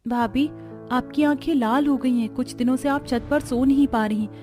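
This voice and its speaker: A woman plays a young sister-in-law in a cartoon story. She speaks frankly in a fairly high voice, as one giving advice. Faint music is behind her. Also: moderate pace, a little mellow, close to an everyday voice. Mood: frank, concerned. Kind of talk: cartoon story character acting.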